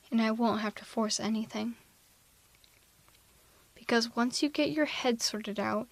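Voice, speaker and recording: A woman playing a haughty, sardonic telepathic villain in an ASMR roleplay audio drama, theatrical and quick to justify herself. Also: a little high, no room sound, natural voice. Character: female telepathic villain, haughty, misanthropic, secretly soft-hearted. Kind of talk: ASMR roleplay audio drama, close one-sided dialogue.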